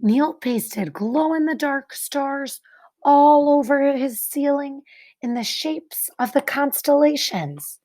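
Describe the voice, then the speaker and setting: A woman reading a picture book aloud like a teacher, expressively, with deliberate dramatic pauses and emphasis for young listeners. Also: high voice, dark tone. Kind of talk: expressive children's read-aloud narration.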